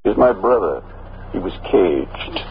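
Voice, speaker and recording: Very deep old prophetic preacher. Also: male voice, sing-song, exaggerated voice. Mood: fervent, prophetic. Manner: oratorical, measured, prophetic. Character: gentle, fervent old hermit preacher with biblical speech. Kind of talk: 1950s radio drama acting. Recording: dull, noisy old radio recording.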